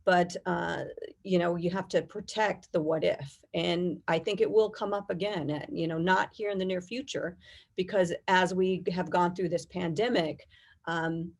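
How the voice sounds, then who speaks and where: A middle-aged American woman speaks in a fairly low voice with a gravelly creak. She answers as an interview guest, explaining things in a measured, conversational and detailed way. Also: fairly even intonation. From United States. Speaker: guest, air traffic controllers' union executive.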